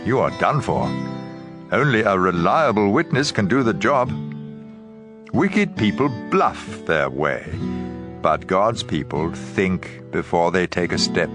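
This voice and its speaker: A man narrates scripture in a dramatized audio Bible style. His voice sits fairly low and moves through a wide, lively range of pitch. Plucked guitar music plays clearly behind him. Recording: music bed under voice.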